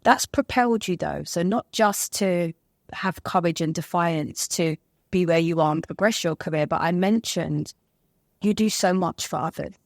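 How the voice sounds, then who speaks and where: Low-pitched woman host, affirming. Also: moderate pace, dry recording, clean studio sound. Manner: affirming, warm-worded, builds long questions. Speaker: podcast host. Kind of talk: interview questions.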